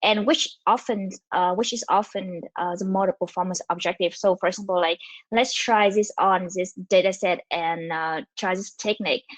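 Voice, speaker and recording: A young woman from Vietnam speaks with a Vietnamese accent, rather low in pitch for a woman. She rushes through her talk with many fillers and restarts. The sound is dry and close, with no room around her. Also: fairly even intonation, dry recording, low-quality recording. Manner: fast-talking with frequent fillers and restarts. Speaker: conference speaker. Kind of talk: online technical talk with slides.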